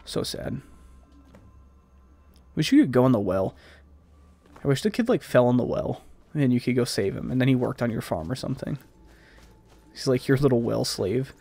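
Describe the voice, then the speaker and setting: A man's voice: mid-range voice, expressive, normal speed, gravelly, pauses often, warm tone, husky, no echo. A man with a slightly stuffed-up, scratchy voice giving casual, rambling gameplay commentary as a let's play host.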